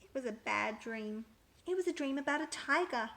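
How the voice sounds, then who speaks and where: A woman reading a children's picture book aloud with expressive, emphatic storytelling. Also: sits high, moderate pace, vocal fry, slight background noise.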